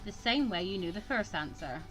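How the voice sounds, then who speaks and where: A woman voicing a stern, condescending male mentor in a visual novel, measured and dry as he instructs a student. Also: mid-range voice, lively intonation, a bit bright, soft background music, close to an everyday voice, low-quality recording. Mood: testing.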